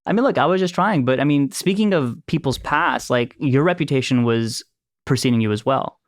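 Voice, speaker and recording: A man's voice: medium pitch, fairly quick, no echo, studio quality. A man in his thirties from Los Angeles, a filmmaker telling stories in a casual chat and laughing easily along the way. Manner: animated storyteller, laughs easily. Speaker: filmmaker and producer. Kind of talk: casual conversation, storytelling.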